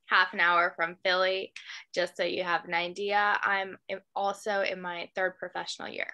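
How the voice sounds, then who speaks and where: A woman's voice: lowish voice, flat delivery, normal speed, pushed and bright, clean tone. An American woman in her twenties from eastern Pennsylvania, a student panelist casually introducing herself. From eastern Pennsylvania, United States. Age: 20s.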